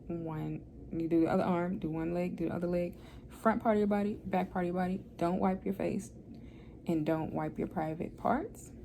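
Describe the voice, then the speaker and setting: A woman's voice: lowish voice, vocal fry, warm tone, slight background noise. A young woman, chatting candidly into her phone camera in a hospital room, often laughing. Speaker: vlogger. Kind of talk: casual hospital vlog.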